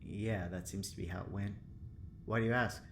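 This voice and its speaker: An actor playing a nervous, chatty lab assistant in an audio drama, hesitant yet upbeat. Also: male voice, fairly low pitch, steady tone, moderate pace. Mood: concerned. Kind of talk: naturalistic audio drama acting. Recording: clear background noise.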